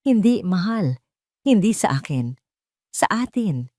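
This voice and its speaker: A woman's voice: mid-range voice, very animated, takes it slowly, dark tone, no room sound, clean studio sound. A Filipino woman voicing a seductive, ambitious mistress in a romance audio drama, smugly savoring her words. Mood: smug, celebratory.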